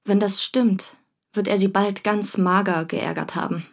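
A woman's voice: mid-range voice, steady tone, measured pace, creaky. An actress playing a young, jealous mistress, reading her diary in a restrained, bitter manner in a radio drama. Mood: wounded, bitter.

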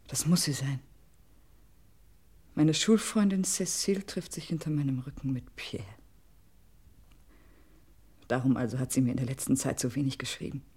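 An actress in a German radio crime drama playing an anxious, jealous wife, agitated and desperately worried. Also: female voice, a bass voice, breathy edge, exaggerated voice. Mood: bitter brooding. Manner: agitated, swings between hushed brooding and urgent calls.

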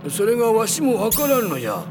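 Anime talking dog, old codger, high voice, swooping delivery, grumbly, folksy, music behind. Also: male voice, quick pace. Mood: grumbling, puzzled. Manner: old-man dialect, animated. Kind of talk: anime voice acting.

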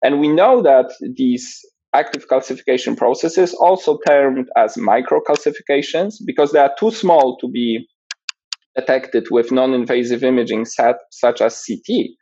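A man from Poland, a guest researcher explaining his imaging work in a measured, technical way with frequent fillers. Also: mid-range voice, dark tone, highs cut off, slight background noise.

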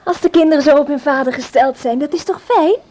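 An actress playing a kindly, reassuring hospital nurse in a radio play, coaxing and chatty in manner. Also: female voice, high voice, fast, a little mellow, close to an everyday voice. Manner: coaxing, solicitous.

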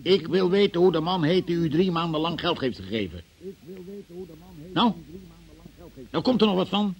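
An actor playing a stern, sarcastic examining magistrate in a radio play, brusque and probing in manner. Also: male voice, a little high, expressive, normal speed, gravelly, a little mellow, highs cut off. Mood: impatient, stern. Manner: brusque, sardonic, interrogating. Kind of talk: Dutch-language radio play acting.

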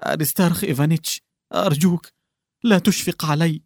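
Man playing a nervous, tearful colonel, pleading, pitched high, dry close sound. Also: quick pace, no echo, natural voice. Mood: anxious. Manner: pleading, anxious questioning. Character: distraught middle-aged male colonel, tearful, nervous.

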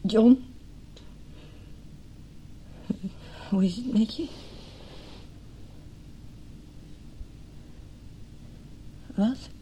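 An actress playing a wounded, bitter mistress in her thirties in a radio drama, speaking in a breathy, halting, often whispered voice. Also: medium pitch, mellow, natural voice. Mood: wounded, guarded. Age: 30s. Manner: slow, halting, anguished. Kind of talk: radio drama acting, intimate inner monologue.

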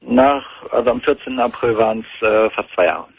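A man's voice: medium pitch, wildly swooping pitch, a little fast, creaky, slightly rough, no room sound. A man in his twenties calling in to a radio talk show, speaking hesitantly with many fillers over a telephone line. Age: 20s. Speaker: caller. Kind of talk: phone-in talk radio call. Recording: tinny phone line.

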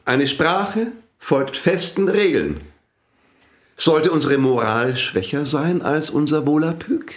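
An actor playing a pompous older priest in a radio play, haughty and declamatory. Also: male voice, on the high side, expressive, takes it slowly. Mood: lecturing. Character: pompous older male priest, language inventor, scornful. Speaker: pastor.

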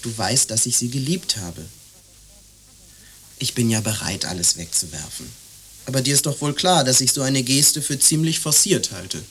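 A man's voice: mid-range voice, lively intonation, normal speed, fairly bright tone. Exasperated young man, faint hiss. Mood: pleading, argumentative. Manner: emphatic, repetitive, argumentative. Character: exasperated young man defending his lost love's keepsakes. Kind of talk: radio play dialogue acting.

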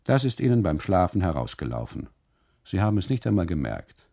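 A man's voice: low voice, very animated, brisk, vocal fry, mellow, full character voice. A man voicing a suspicious, scolding Italian custodian in a radio play, pointed and insistent in his reproaches. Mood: stern reproach.

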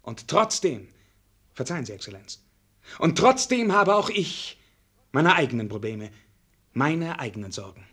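An actor playing a melancholy young prince in a radio drama, weary of courtly formality and declaiming with theatrical bitterness. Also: male voice, on the high side, very animated, measured pace, long pauses, a bit bright, breathy edge, exaggerated voice. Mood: troubled. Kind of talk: German radio drama acting.

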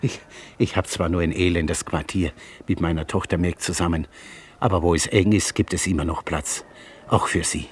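An actor playing a poor, tired, kindly old porter in a 1950s German radio drama, halting and deferential as he rambles. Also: sits low, steady tone, breathy edge. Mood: kindly, insistent. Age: older.

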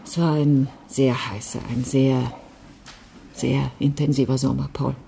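Wistful woman remembering old love, radio drama, low soft dark voice, kinda confiding. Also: little pitch movement, unhurried, creaky, slight background noise, exaggerated voice. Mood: wistful, regretful. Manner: confiding, reflective. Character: wistful, passionate woman recalling a past love affair.

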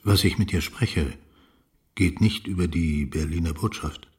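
A guarded older general from a 1930s radio drama. His voice sits low, and he talks in clipped, weighty military phrases, giving little away. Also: a little slow. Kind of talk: radio play dialogue.

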